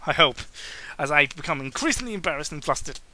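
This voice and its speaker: A man's voice: on the high side, lively intonation, quick pace, pushed and bright, low-quality recording. A man giving a goofy, exaggerated comedic reading of prose, often stumbling and breaking into asides. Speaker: amateur reader making a comedic dramatic reading. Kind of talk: hammy comedic audiobook-style narration.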